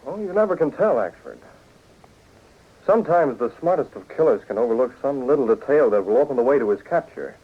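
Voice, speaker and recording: A shrewd publisher and secret crimefighter in an old radio serial. His voice is husky and lively in intonation, confident and deliberate, heard through muffled sound. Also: medium pitch. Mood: shrewd, measured. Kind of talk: 1940s radio acting. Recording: dull lo-fi 1940s radio recording.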